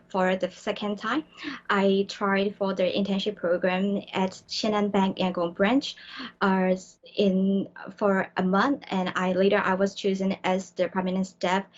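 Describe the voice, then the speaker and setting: A woman's voice: mid-range voice, lo-fi sound. Earnest young woman from Myanmar. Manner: earnest, with frequent restarts and fillers. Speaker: graduate student guest. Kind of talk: studio interview answers.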